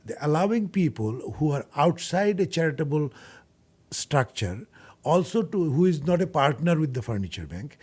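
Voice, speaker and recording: A man with a Bangladeshi accent speaks in a fairly high, noticeably husky voice. He explains things earnestly as an interview guest, stumbling and doubling back on his words as he goes. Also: sing-song, darkish tone. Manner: halting, repeats words, earnest. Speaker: guest, charity furniture bank leader.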